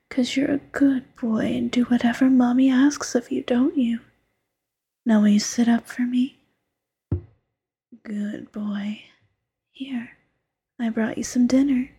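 A woman voicing a doting, teasing fox girlfriend who mothers her partner, in soft coaxing whispered roleplay. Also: a little high, gravelly, slightly rough, heavily acted.

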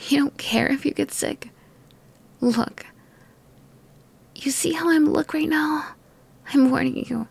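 Young woman whispering asmr girlfriend stuff, sick and tired, kinda high and gravelly. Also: slow pace, long pauses. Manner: soft, affectionate whispering. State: sick and worn out.

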